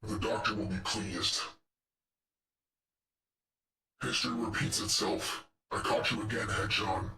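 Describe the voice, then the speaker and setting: A man's voice: on the low side, steady tone, normal speed, pushed and bright, recorded from a distance. A man voicing a menacing, arrogant robot henchman in a video game, fiery and sadistic, with taunting, condescending lines. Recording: echoey room, off the microphone.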